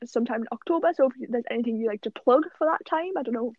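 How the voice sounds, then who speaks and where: A woman's voice: a little high, no echo, phone-quality sound. A young woman hosting a podcast, chatting casually and laughing easily with her guest. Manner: friendly, quick to laugh. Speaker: podcast host. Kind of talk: casual chat.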